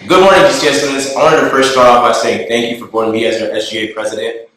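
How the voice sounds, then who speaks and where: A man's voice: medium pitch, steady tone, fast, a bit bright. Man speaking far off in an echoey room. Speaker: student body president. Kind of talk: read announcement. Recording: distant mic in a very echoey room.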